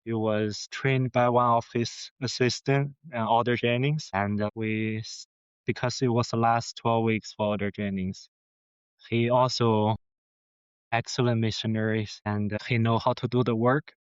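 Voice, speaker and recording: A man with a Mandarin Chinese accent, a native Chinese speaker, earnestly telling his personal story as a podcast guest in halting phrases with restarts. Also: mid-range voice, flat delivery, smooth, no room sound. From China. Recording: slightly dull lo-fi sound.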